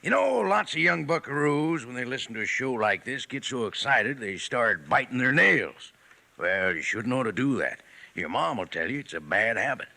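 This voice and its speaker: An actor playing a folksy old cowboy pitchman in a 1950s radio ad, with a drawl and playful pauses. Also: very animated, gravelly, pushed and bright, exaggerated voice. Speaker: sponsor pitchman.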